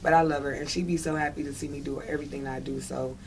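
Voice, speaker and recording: A woman's voice: low voice, steady tone, fast talker, a little mellow, a little hiss or hum, rough recording. A woman with a Detroit accent chatting casually as an interview guest, talking in an emphatic, slangy way and often checking that the listener follows. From Detroit, United States.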